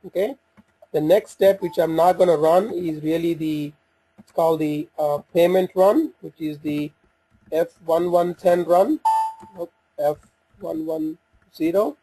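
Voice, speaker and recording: A man giving an unscripted software walkthrough, talking through each step in a halting way as he thinks aloud. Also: fairly high pitch, steady tone, slightly rough, rough recording. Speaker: software demo presenter.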